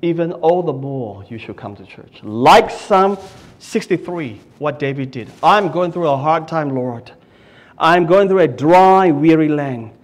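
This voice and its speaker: Animated middle-aged man preaching in church. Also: a little high, measured pace. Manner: animated preaching, swings from loud cries to hushed prayer, raises arms. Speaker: pastor. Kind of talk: sermon. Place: small church hall, indoors. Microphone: stand mic at a lectern.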